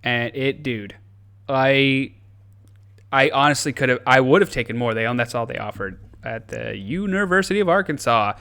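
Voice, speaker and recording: Animated young American man, smooth voice. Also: mid-range voice, normal speed. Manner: animated, profane, joking, with restarts. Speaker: podcast host. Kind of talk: casual chat.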